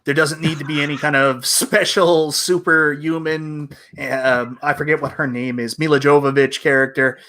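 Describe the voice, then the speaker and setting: A man co-hosts a casual live-streamed chat, blunt and joking and quick to laugh. His voice sits somewhat high and sounds bright. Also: steady tone. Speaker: co-host.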